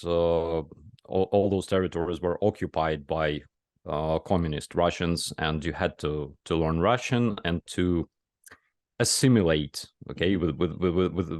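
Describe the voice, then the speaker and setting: A man from Ukraine with a Ukrainian accent, a soldier speaking English as a second language in a halting interview style. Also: low voice, no room sound, clean studio sound. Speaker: soldier and press officer, guest. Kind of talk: casual interview chat.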